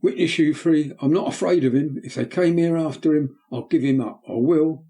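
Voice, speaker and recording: A man's voice: lively intonation, a little fast, warm tone, close to an everyday voice. A man voicing a frantic, defiant young boy who screams for help in an audiobook reading. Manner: shrill, screaming. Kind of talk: audiobook character voice.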